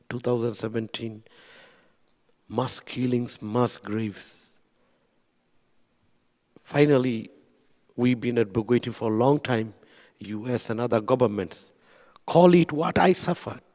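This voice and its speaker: A man's voice: medium pitch, a little mellow. A man from Burma, speaking earnestly on a panel and repeating phrases for emphasis. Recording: reverberant hall.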